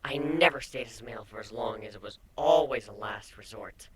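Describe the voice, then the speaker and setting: A woman's voice: very deep voice, normal speed. A woman playing a playful, affectionate female changeling pony in a dramatic fan fiction reading, with a casual, teasing delivery. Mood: candid, awkward. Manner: teasing, casual, hesitant in places.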